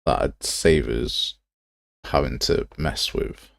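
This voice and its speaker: A man with a very low, creaky voice, recorded close and dry. He talks casually as a livestream host, thinking aloud while he works. His delivery is informal and rambling, with a self-deprecating streak. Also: slow, no room sound. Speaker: game development livestream host.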